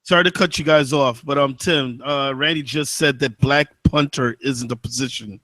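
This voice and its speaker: A man's voice: medium pitch, moderate pace, sharp tone. A man on a sports talk show cutting in dryly to keep things moving. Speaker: podcast co-host. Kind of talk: casual sports banter.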